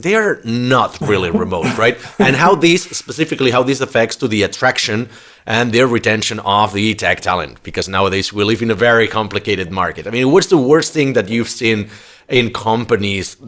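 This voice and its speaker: A male podcast host talking in a loose, chatty way. He is blunt, pauses on fillers and restarts his sentences, and his voice sits at an ordinary middle pitch. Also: fairly bright tone. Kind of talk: casual podcast interview.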